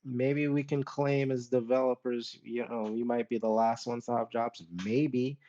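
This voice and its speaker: A man with a medium-pitched voice speaks as a podcast panelist. He is informal and emphatic, often repeating a phrase to press it home. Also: little pitch movement, a little slow, dark tone, dull audio. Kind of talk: casual podcast chat.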